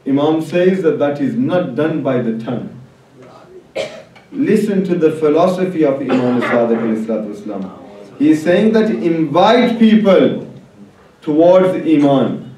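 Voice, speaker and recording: A man preaching a religious sermon to a congregation in an emphatic, deliberate way, repeating key phrases. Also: a little high, animated, warm tone. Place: large echoing religious hall. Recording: very echoey hall, distant mic.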